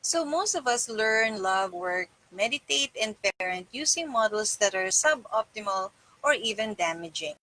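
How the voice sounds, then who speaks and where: Middle aged lady reading a self help book out loud, bright forceful voice, medium pitch. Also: rough recording. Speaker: reader. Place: small plain-walled room indoors.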